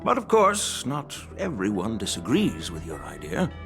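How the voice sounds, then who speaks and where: An actor playing a measured, shrewd old grandfather who is a general in a fantasy video game, speaking formally and with authority. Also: fairly low pitch, wildly swooping pitch, creaky, over music, exaggerated voice. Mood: grave reasoning. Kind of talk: dramatic video game voice acting.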